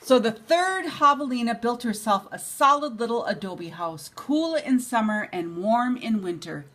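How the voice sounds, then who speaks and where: Older woman, grandmotherly storybook reader, fairly high pitch, lively intonation, unhurried. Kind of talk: expressive bedtime storybook reading.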